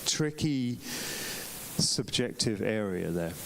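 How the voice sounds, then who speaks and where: A man's voice: fairly low pitch, expressive, slow, gravelly, slight background noise, rough recording. A man reviewing competition photographs on a live stream in a chatty way, often restarting sentences with ums. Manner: chatty, self-correcting, frequent ums and restarts. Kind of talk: live-streamed club critique.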